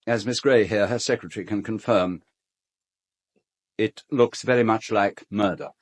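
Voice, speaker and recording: An actor as a courteous English gentleman of middle age in a radio play. His voice sits fairly low, and he speaks in a formal, well-bred, slightly fussy and hesitant way. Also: moderate pace, natural voice. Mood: flustered announcing. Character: fussy, courteous gentleman host of an island castle. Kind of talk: British radio drama acting.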